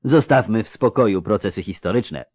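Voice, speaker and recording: Diplomatic storybook plotter, congested nose, measured and composed male narrator acting, dry recording. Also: mid-range voice, animated, darkish tone, dry recording, pitched above the performer's own voice, close to an everyday voice. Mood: conciliatory. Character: composed conspirator with a head cold, conciliatory.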